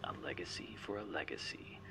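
A man voicing a vengeful, coldly manipulative handler in a whispery, gravelly ASMR roleplay, taunting and deliberate. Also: low voice, lively intonation. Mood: coldly threatening. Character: menacing, vengeful male infiltration handler, mocking and coldly manipulative. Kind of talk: ASMR roleplay, scripted one-sided drama.

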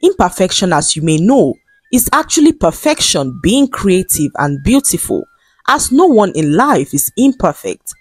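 A Nigerian-accented woman narrating a scripted folktale as a storyteller, with measured, expressive phrasing. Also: on the low side, breathy edge, no echo.

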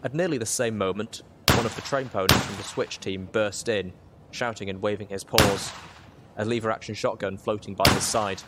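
A man's voice: on the low side, fairly even intonation, moderate pace, heavy background noise. A man reading a first-person story aloud as scripted audiobook narration. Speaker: audiobook reader, show host.

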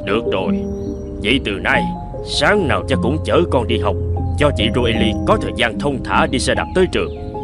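A man voicing a caring, busy middle-aged father in a cartoon, speaking in a gentle, indulgent way. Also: mid-range voice, big pitch swings, moderate pace, natural voice. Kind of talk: cartoon dubbing. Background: music.

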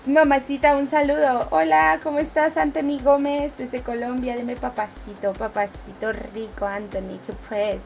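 A woman's voice: high-pitched, flat delivery, moderate pace, gravelly, hardly any pauses, soft background music. A woman in her twenties with a Mexico City accent, chatting casually with viewers during a live game stream on a headset. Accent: Mexico City Spanish. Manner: chatty, reads and answers viewer comments while gaming. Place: home streaming room, indoors.

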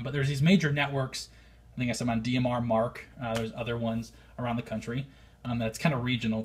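An American man talking casually and unscripted as a hobbyist vlogger, thinking aloud and hedging his opinions in a slightly husky voice. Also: mid-range voice. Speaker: amateur radio hobbyist, vlogger. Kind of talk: casual unscripted review to camera.

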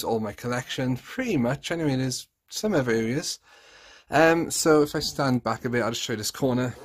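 A man in his thirties narrating a room tour in a casual, chatty, off-the-cuff way, recorded on a handheld phone at home. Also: medium pitch. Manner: chatty, off-the-cuff, self-correcting. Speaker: vlogger, collector. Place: small rooms at home, indoors. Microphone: handheld phone, held by the speaker.

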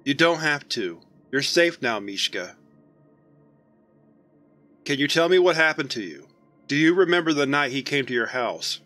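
A man playing a gentle, concerned agent questioning a child in a horror audio drama. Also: medium pitch, very animated, moderate pace, pauses often, faint music behind.

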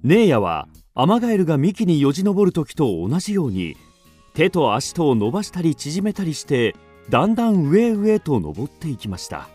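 A male narrator tells a children's radio drama in a scripted, storybook style. His voice is a little high for a man and very expressive, with faint music behind. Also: moderate pace.

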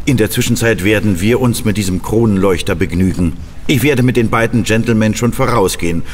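An actor playing a composed, commanding lord of a castle, speaking formally in a radio play. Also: male voice, on the low side, a little fast, big-room sound, a little hiss or hum, close to an everyday voice. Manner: formal, authoritative.

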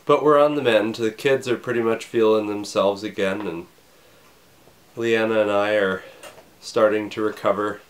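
A man in his thirties, a pastor, preaching a recorded sermon from his home study with a scratchy voice and a slight cough, earnest and measured. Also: on the low side, fairly even intonation. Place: home study with bookshelves, indoors.

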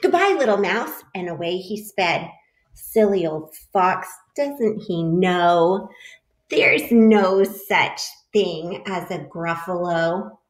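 A woman's voice: fairly low pitch, slow pace. Woman reading a storybook, roomy room. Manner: lively storybook reading, voicing the animals. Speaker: teacher reading aloud to children. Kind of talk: children's picture-book read-aloud. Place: home kitchen, indoors. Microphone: webcam at arm's length. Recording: roomy echo.

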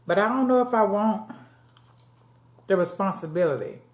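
A woman's voice: mid-range voice, expressive, normal speed, reverberant. A woman in her late fifties chatting casually with viewers while eating, talking to them as y'all. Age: late 50s. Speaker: mukbang vlogger. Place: dim room at home, seated at a table. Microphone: fixed camera across the table.

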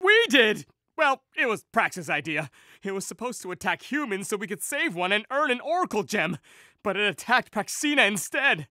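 A man playing a panicky, theatrical young villain with whiny, pleading delivery in an animated series. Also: high voice, moderate pace, no echo, clean studio sound, heavily acted. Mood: sheepish.

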